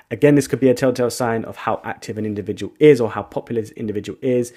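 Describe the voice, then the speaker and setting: A man walking viewers through a software tool in a casual screen-share tutorial, thinking out loud with frequent restarts. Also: on the low side. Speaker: agency founder giving a software tutorial.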